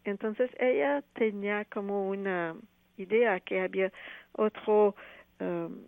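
A woman, a playwright and actress speaking Spanish as a second language, answering interview questions haltingly with many restarts. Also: medium pitch, creaky, no room sound. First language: not Spanish. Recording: tinny phone line.

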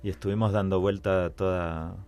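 A man's voice: low voice, steady tone, brisk, darkish tone, smooth, faint music behind. A man in his forties from Argentina, with an Argentine Spanish accent, telling his life story as a musician guest in a radio interview, hesitating and repeating words as he talks.

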